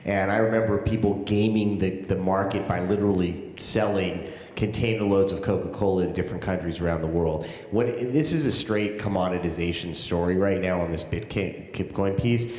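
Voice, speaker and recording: A man with a very low voice talks on a conference panel, rambling and leaning on fillers. His voice carries a long reverb that fills the hall. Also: steady tone, normal speed, a little mellow. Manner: rambling, filler-heavy, conversational. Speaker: venture capital panelist. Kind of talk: casual panel discussion.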